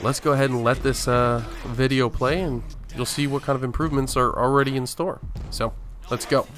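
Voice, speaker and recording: A man's voice: medium pitch, steady tone, brisk, fairly bright tone. An American man from Arizona hosting a gaming video with casual gameplay commentary. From Arizona, United States. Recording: voice-over with faint game music.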